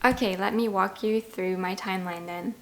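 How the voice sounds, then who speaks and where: A woman's voice: mid-range voice, fairly even intonation, normal speed, slight background noise. A woman in her twenties from Germany, a native German speaker with a German accent, telling her own story in a reflective, candid, conversational way to camera. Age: 20s. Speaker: language-learning vlogger.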